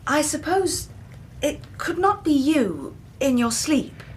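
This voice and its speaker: An actress playing an anxious, delicate young English gentlewoman in a radio drama, hesitant and tremulous. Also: high-pitched, sing-song, slow, a little hiss or hum, heavily acted. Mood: uneasy, affectionate.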